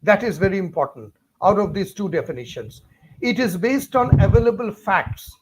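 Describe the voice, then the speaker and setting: Indian English male teacher, fairly high-pitched, emphatic and repetitive, noisy live-stream audio. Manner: emphatic, repetitive, talks straight to viewers by name. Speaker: physics teacher hosting a live class.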